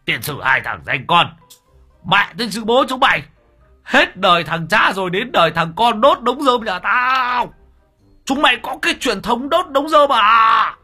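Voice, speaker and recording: A man's voice: high-pitched, big pitch swings, a little slow, breathy edge, faint music behind, pitched above the performer's own voice, heavily acted. A man playing a furious cursing old villager. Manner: stammering, yelling insults.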